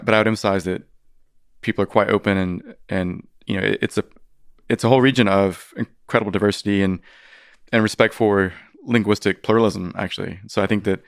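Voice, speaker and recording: A man's voice: lowish voice, fairly even intonation, brisk, gravelly, no room sound, clean studio sound. A man appearing as a historian guest on a podcast, answering thoughtfully with hedges and frequent restarts. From United States. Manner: thoughtful, hedging, with many restarts. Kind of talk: podcast interview.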